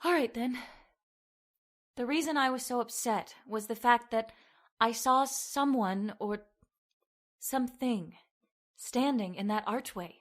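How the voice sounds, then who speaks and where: A woman's voice: on the high side, a little slow, long pauses, natural voice. A woman playing an anxious, frightened young woman in old-time radio horror, hesitant and dramatic. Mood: troubled.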